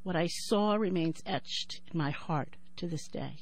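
A woman in her sixties with a New York accent who talks thoughtfully with many pauses and then reads her poem in a measured rhythm. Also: fairly low pitch, no echo. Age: 60s. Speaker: poet and writer. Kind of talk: interview and poetry reading.

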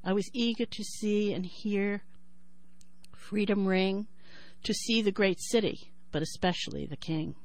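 A woman in her sixties with a New York accent who talks thoughtfully with many pauses and then reads her poem in a measured rhythm. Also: medium pitch, a little mellow, dry recording. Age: 60s. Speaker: poet and writer. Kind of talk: interview and poetry reading.

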